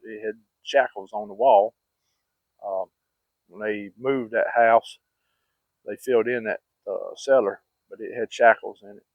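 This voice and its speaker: A man's voice: mid-range voice, no echo. Folksy Southern man on muffled, phone-like audio. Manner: folksy, rambling, plain-spoken. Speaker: vlogger. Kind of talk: casual personal storytelling.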